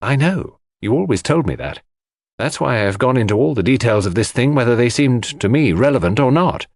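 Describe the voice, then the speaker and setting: A man voicing an earnest, slightly nettled gentleman, a puzzled friend to a detective, in an audiobook. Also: mid-range voice, animated, fairly quick, no echo, clean studio sound. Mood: defensive. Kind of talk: audiobook character acting.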